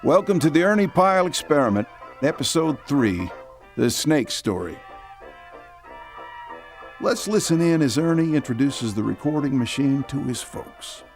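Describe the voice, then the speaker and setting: A man's voice: on the high side, animated, faint music behind, poor audio. A man giving scripted, wry narration and hosting a radio drama. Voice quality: wry, measured. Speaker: narrator and host.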